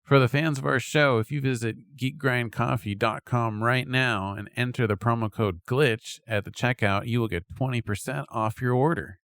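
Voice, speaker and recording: A man's voice: mid-range voice, fairly even intonation, moderate pace, few pauses, a bit bright, clear, resonant tone, no echo, studio quality. A man doing a scripted, skit-style podcast sponsor read with upbeat salesman patter. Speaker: podcast host reading an ad.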